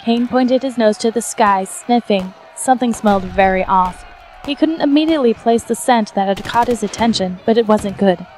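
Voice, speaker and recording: A woman's voice: fairly high pitch, moderate pace, a little mellow. A woman reading scripted fiction aloud as the narrator of an action fantasy story.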